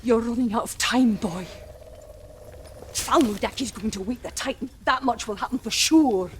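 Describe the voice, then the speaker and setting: A woman's voice: a little high, moderate pace, slight background noise, natural voice. An actress playing a wild, bossy old witch in a video game, brash, scolding and gleeful. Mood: urgent, scolding. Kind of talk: video game cutscene acting.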